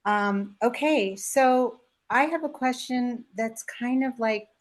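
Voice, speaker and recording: A woman's voice: on the high side, takes it slowly. Earnest woman searching for her words. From South America. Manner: earnest, searching, restarting phrases. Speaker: participant asking a question. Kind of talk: audience question on a video call. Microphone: video call.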